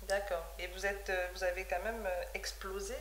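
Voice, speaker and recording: A woman's voice: medium pitch, flat delivery, normal speed, fairly bright tone. Woman interviewer in an echoey, hissy room. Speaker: TV show host. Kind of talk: casual interview. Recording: echoey room with faint hiss.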